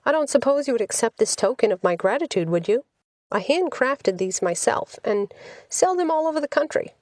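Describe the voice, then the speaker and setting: A woman's voice: a little high, expressive, brisk, darkish tone, no room sound, clean studio sound, voice pushed up. Woman reading as a courteous, insistent travelling salesman. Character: smooth-talking, courteous salesman, a handsome stranger.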